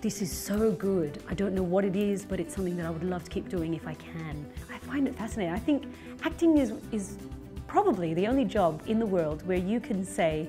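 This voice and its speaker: A woman in her thirties giving a chatty, animated interview with frequent fillers. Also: mid-range voice, fairly quick, music in the background. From Philippines-born, raised in Zambia and Australia. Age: 30s. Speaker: interviewee, stage actress. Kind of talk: conversational interview.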